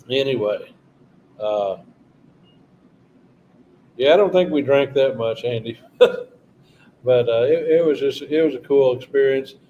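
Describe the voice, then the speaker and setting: A man's voice: medium pitch, dark tone, highs cut off, a little hiss or hum. A middle-aged man hosting a casual live stream, rambling through memories and chatting with viewers by name. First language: English. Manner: rambling, reminiscing, talking to viewers in chat. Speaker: channel host doing a live stream.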